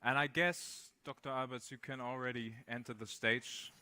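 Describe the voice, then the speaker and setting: Male moderator, bright tone, crisp clean voice, playful stage patter. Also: medium pitch, normal speed. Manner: jokey, relaxed compering. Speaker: event moderator.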